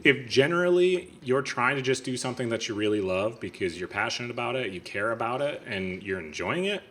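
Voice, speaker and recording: A man's voice: medium pitch, expressive, fairly quick, a bit bright. A man in his thirties hosting a podcast, chatting casually and earnestly, sharing firm opinions in long runs of talk. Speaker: podcast host. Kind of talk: casual conversation.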